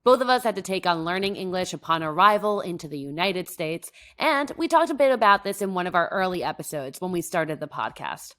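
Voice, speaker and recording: A young adult woman from Mexico co-hosting a podcast, upbeat and conversational, reading facts at a brisk pace. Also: lowish voice, expressive, a bit bright. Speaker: podcast co-host. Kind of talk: casual podcast chat with scripted intro.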